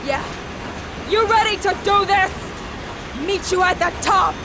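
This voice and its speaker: A woman's voice: extremely high pitch, measured pace. A woman playing a brash, menacing, power-hungry factory boss villainess in a video game. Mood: brash, taunting. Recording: noisy machinery ambience behind.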